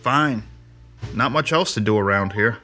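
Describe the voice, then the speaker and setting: A man playing a gruff, weary sergeant and bodyguard in an audio drama, dry and laconic. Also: fairly low pitch, lively intonation, unhurried, bright, forceful tone, faint music behind. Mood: dry teasing. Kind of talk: scripted audio drama acting.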